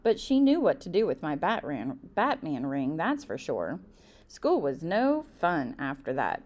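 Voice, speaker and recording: A woman reads a children's book aloud in an expressive, emphatic storytelling style. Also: low-pitched, a little slow. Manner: animated, emphatic delivery.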